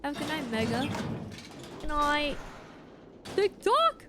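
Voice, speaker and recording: Female game streamer: slightly high, animated pitch, casual exclamatory chat over game music. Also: slow, noisy background. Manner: playful, exclamatory. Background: video game music.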